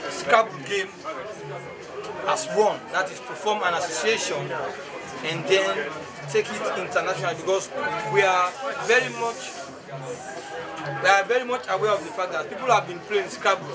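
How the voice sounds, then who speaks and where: A middle-aged man with a Sierra Leonean accent, answering interview questions in halting, deliberate phrases. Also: high-pitched, loud music behind the voice. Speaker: sports association official being interviewed. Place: outdoor press conference in town. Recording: busy outdoor crowd noise behind.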